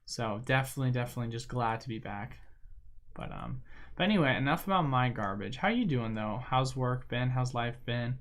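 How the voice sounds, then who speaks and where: Young guy streaming, rambly and slangy, chill mid-pitch voice. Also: lively intonation, fairly quick. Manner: rambling, filler-heavy, slangy. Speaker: livestream host. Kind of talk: casual chat while gaming.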